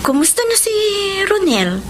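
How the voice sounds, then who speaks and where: High lively female voice, flirtatious independent single woman, Filipino accent, playful, radio drama. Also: a little slow, noisy background. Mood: friendly. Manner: playful, expressive. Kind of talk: Tagalog radio drama acting.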